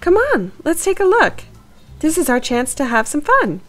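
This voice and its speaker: Woman acting out a bold storybook girl mouse, eager, impatient, urging, raised pitch, lively swoops, music behind. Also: normal speed. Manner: exclamatory, urging. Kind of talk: storybook character voice.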